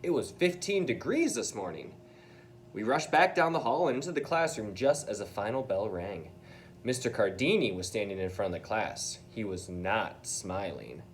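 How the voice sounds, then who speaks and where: A man's voice: on the low side, expressive, normal speed, slight background noise. A man reading a children's storybook aloud expressively, with casual asides to his young listeners.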